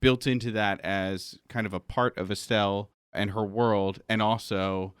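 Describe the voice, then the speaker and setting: A man's voice: on the low side, fairly even intonation, measured pace, clean tone, dry recording, studio quality. A man, a game master, explaining things in an informal, loosely rambling way during a casual panel chat. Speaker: game master of a roleplay audio drama.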